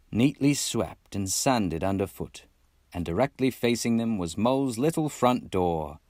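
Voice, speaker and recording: A man's voice: lowish voice, a little mellow, no echo, clean studio sound. A man from Texas reading a classic storybook expressively as narrator, in a put-on British accent. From Texas, United States. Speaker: amateur audiobook reader.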